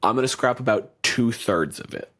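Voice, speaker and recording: A man's voice: mid-range voice, brisk, fairly bright tone. A man co-hosting a podcast, chatting casually in a loose, profane, rambling way with frequent restarts. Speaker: podcast co-host. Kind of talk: casual chat.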